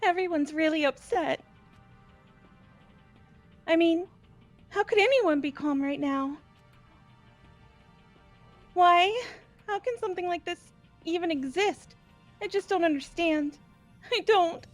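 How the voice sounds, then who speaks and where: A woman's voice: very high-pitched, takes it slowly, long pauses, soft background music. A woman voicing an emotional teenage schoolgirl in an amateur game dub. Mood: troubled.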